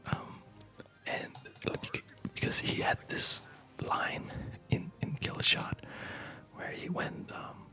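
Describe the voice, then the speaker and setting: A man speaking in a breathy ASMR whisper, explaining things casually in a halting, digressive way. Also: pushed and bright, faint music behind. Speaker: ASMR video host.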